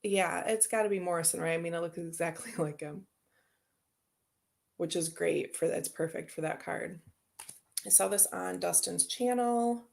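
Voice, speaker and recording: A woman's voice: lowish voice, moderate pace, creaky, a little mellow. A woman hosting a tarot channel, chatting casually and rambling as she unboxes decks and answers viewer comments.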